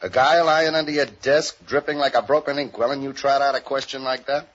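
An actor playing a gruff, sceptical homicide sergeant, terse and hard-boiled, in 1940s radio crime drama style. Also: male voice, medium pitch, steady tone, brisk, fairly bright tone, close to an everyday voice. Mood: tough, dry. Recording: muffled old 1940s radio recording.

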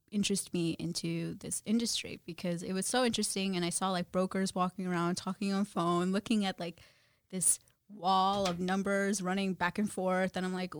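A woman's voice: lowish voice, dry recording. Bubbly young woman guest, laughing often. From Guyana. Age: 20s. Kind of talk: casual interview chat.

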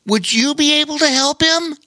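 A man voicing a friendly, polite male otter in a storybook, asking earnestly in a high voice. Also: normal speed, bright, forceful tone, no echo, clean studio sound. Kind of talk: storybook reading.

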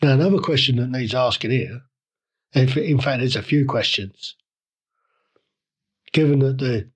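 A man hosting his own podcast, ranting about the news. His voice is a little croaky and husky from a cold. He talks in indignant rhetorical questions and often stops to restart his sentences. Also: medium pitch. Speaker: podcast host. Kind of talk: solo opinion rant.

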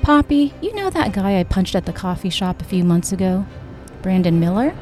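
A woman's voice: medium pitch, very animated, moderate pace, a little mellow, soft background music, natural voice. A woman voicing a confident, plain-spoken young granddaughter in an audiobook, assured and matter-of-fact.

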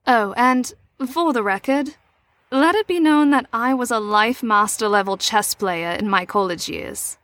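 A woman playing a shrewd, teasing adult mentor to troubled teens in an audio drama, with a measured, knowing, probing delivery. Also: on the high side, fairly bright tone. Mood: reassuring.

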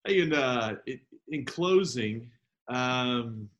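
A man with an ordinary middle-pitched voice hosts a casual studio chat. He talks loosely, trails into fillers and throws in playful asides. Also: expressive, takes it slowly, a bit bright, highs cut off. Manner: loose, rambling, with joking asides. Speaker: host.

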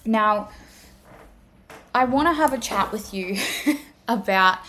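A woman's voice: a little high, lively intonation, takes it slowly, fairly bright tone, slight background noise. A woman with an Australian accent hosting her podcast, chatting casually, swearing freely and stacking repeated phrases. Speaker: podcast host and life coach. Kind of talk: casual solo podcast monologue. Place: home room. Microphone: simple home setup.

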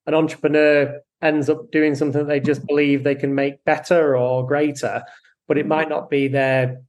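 A man with a slightly higher voice hosts the conversation. He is friendly but halting, often repeating words and restarting as he frames his questions. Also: level tone, darkish tone. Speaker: podcast host. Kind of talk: interview hosting.

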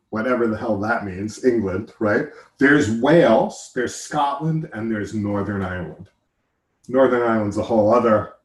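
Joking, rambling American man in a roomy space. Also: medium pitch. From United States. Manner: joking, rambling, self-interrupting. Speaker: podcast host. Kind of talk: casual chat.

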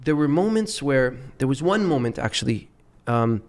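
A man who grew up in Dubai tells stories as a podcast guest in a relaxed, conversational way. He rambles, repeats words, and restarts his sentences as he goes. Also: mid-range voice, moderate pace. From Lebanon, raised in Dubai. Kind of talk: casual storytelling chat.

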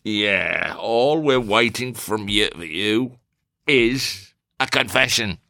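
A man playing a cool, probing interrogator in a theatrical drama, questioning in a formal, deliberate, insinuating way. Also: on the low side, expressive, gravelly, pushed and bright, no room sound, exaggerated voice. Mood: coolly coaxing.

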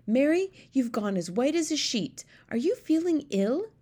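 A woman's voice: high-pitched, very animated, measured pace, warm tone, voice pushed up. A woman voicing a regal, commanding queen in a storybook, exclamatory and aristocratic in her phrasing. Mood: sharp, concerned. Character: well-known queen, regal, commanding, easily horrified older woman. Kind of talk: storybook character voice.

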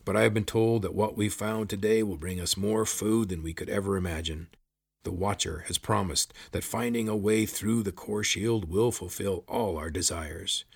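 A man voicing a gruff, stern, curt sergeant of the palace guard in a fantasy story. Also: moderate pace, no echo, clean studio sound, exaggerated voice. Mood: dismissive. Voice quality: gruff, rumbling. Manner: curt, authoritative.

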